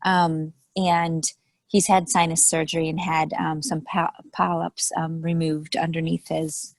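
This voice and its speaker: A woman's voice: deep voice, level tone, a little slow, no echo. A woman in a casual chat telling a story, hesitant with many ums.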